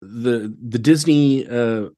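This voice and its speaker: American man, animated podcast guest. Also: mid-range voice, slow. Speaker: podcast guest, theatre director. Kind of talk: casual chat.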